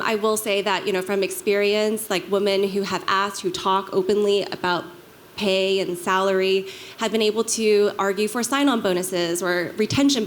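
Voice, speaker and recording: A woman speaking as a panelist, giving career advice in a measured, explanatory way. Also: medium pitch. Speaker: panelist, legal counsel. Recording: clear background noise, live event ambience.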